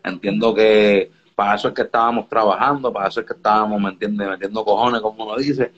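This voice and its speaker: A man's voice: fairly low pitch, steady tone, quick pace, fairly bright tone, highs cut off. A man from the Dominican Republic, a music producer guest in a casual interview, talking in a laid-back way and often checking that the listener follows. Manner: laid-back, keeps asking "you get me?".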